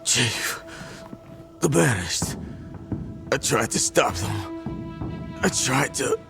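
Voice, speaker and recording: An actor playing a battered, desperate soldier in a video game, gravelly, weak, halting and strained. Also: male voice, medium pitch, animated, music in the background, heavily acted. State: weak, exhausted. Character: battered male soldier rescued from torture, desperate.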